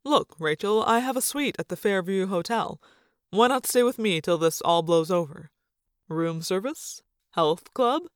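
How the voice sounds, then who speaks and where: A woman's voice: medium pitch, lively intonation, measured pace, no echo, studio quality, natural voice. A woman voicing an easygoing, protective father in an audiobook story. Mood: coaxing.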